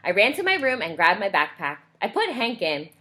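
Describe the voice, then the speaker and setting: Bright, animated woman reading to children. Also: mid-range voice, normal speed. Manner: questioning and explaining to young listeners. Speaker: teacher reading aloud to a young class. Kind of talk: expressive picture-book read-aloud with asides to children.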